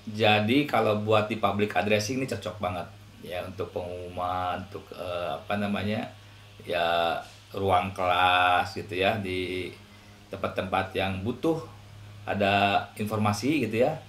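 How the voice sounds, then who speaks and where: A man's voice: low voice, a little slow, a bit bright. A man with an Indonesian accent giving a casual, conversational product review, explaining as he goes. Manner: explanatory, conversational, often tags phrases with "ya" and "gitu". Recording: echoey, reverberant room.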